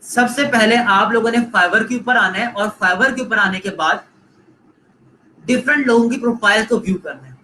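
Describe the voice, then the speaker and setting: A man's voice: high-pitched, normal speed, sharp tone, slight background noise, rough recording. A man with a Pakistani Urdu accent giving a live online lecture, coaching students and repeating points while mixing in English phrases. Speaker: online course instructor.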